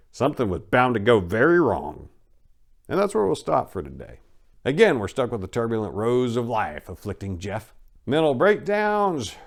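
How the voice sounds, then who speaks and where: A man reading a first-person story aloud like a host, in a blunt, informal and wry storytelling manner. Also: mid-range voice. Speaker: host and reader of a dramatic book reading. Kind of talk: dramatic first-person memoir reading with casual host commentary.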